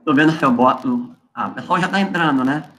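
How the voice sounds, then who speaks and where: A man's voice: medium pitch, fairly quick, a bit bright. A man helping run an online workshop over a video call, thinking aloud casually.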